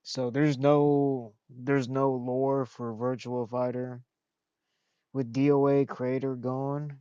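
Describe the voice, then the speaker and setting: A man's voice: mid-range voice, flat delivery, slow pace, dark tone, clean tone, dry recording, highs cut off. A young man streaming a game, chatting casually, rambling, talking to himself, and swearing often. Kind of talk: casual gaming stream chat.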